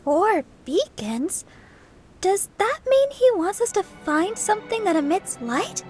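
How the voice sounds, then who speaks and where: A woman's voice: very high-pitched, very animated, takes it slowly, darkish tone, faint music behind, exaggerated voice. A woman voicing a small, innocent and eager little girl in a video game. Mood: earnest.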